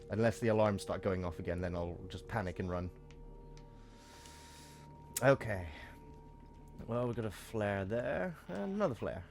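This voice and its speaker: Croaky-voiced man commenting on a game. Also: fairly low pitch. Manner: chatty, joking asides. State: croaky from a cold. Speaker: let's play host. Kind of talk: gameplay commentary.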